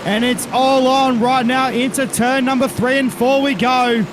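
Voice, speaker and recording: A man's voice: very high voice, steady tone, a little slow. An Australian man commentating a sim race, calling the cars and drivers in detail. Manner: calls the action with driver and car details, says mate and reckon. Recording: noisy broadcast mix with engine noise.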